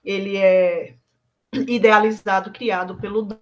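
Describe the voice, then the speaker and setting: A Brazilian woman artist from Bahia, talking through her work in a reflective, halting way with many fillers and restarts. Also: mid-range voice, fairly even intonation. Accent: Brazilian Portuguese. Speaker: visual artist.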